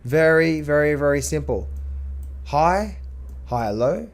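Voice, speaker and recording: Male trading coach, slightly high voice, emphatic chart commentary full of rhetorical questions and repeated words. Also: lively intonation. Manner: emphatic, fires rhetorical questions and answers them; repeats words in quick bursts. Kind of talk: market update over charts.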